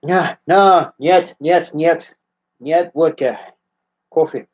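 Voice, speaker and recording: A man in his fifties from the United States, chatting casually on a home livestream, relaxed and halting with frequent restarts, seated close to a webcam. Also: fairly high pitch, breathy edge. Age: 50s. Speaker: livestream host answering viewer questions. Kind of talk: casual live Q&A chat. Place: small room at home, seated in armchair indoors. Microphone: webcam at close range. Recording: slightly dull lo-fi webcam audio.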